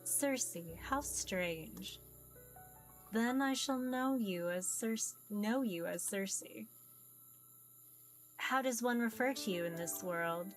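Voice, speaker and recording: A woman voicing a mysterious ancient being in a visual novel, formal, measured, amused and curious about humans. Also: mid-range voice, soft background music, natural voice. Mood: curious, musing. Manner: formal, measured, archaic phrasing. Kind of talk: visual novel character read-aloud.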